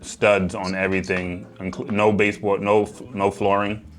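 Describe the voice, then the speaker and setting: Deep-voiced American man, casual explainer. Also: level tone, normal speed, faint music behind. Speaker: real estate developer and agent, vlog subject. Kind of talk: casual explanatory vlog talk.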